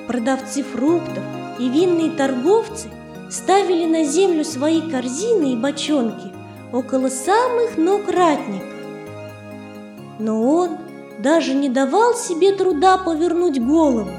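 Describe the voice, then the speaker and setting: A woman narrating a children's tale in a measured, expressive literary reading. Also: sits high. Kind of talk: scripted storytelling for children. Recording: roomy reverb, faint music behind.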